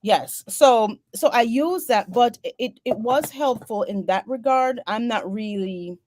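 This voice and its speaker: A woman's voice: a little high, unhurried, darkish tone, slight background noise. Jamaican woman hosting a video-call chat. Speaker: host. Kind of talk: casual interview chat. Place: home office at a desk.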